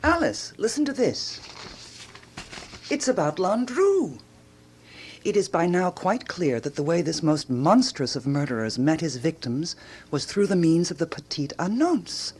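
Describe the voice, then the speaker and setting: A woman's voice: lowish voice, big pitch swings, unhurried, darkish tone, slightly rough, full character voice. An eager, swooping lady sleuth. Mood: dramatic, relishing. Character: eager, self-assured woman amateur detective in 1920s Paris. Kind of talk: radio drama acting.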